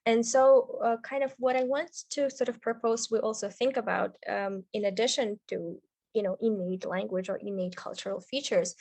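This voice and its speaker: A woman's voice: fairly high pitch, a little mellow, no room sound. A woman leading a writing webinar over a video call, chatty and checking in with "right?" often. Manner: conversational, frequent "right?" checks and fillers. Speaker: webinar instructor. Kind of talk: teaching a live webinar.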